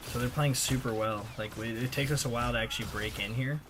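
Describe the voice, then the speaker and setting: Casual male gamer, clear background noise. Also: medium pitch, fairly even intonation, quick pace. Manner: slangy, conversational. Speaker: gaming video creator. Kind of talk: casual gameplay voice-over commentary.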